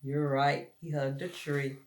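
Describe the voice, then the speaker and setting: A woman's voice: low voice, flat delivery, slow, a little mellow. A woman reading a story aloud to young children, expressively and with dramatic emphasis, addressing them as friends. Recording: roomy echo.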